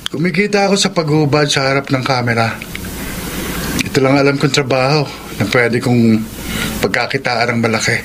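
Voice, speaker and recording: A man's voice: mid-range voice, normal speed, natural voice. A man playing a cocky, intense young bachelor in a Filipino radio drama, with a Filipino accent. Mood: nonchalant. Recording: noisy ambience behind the voice.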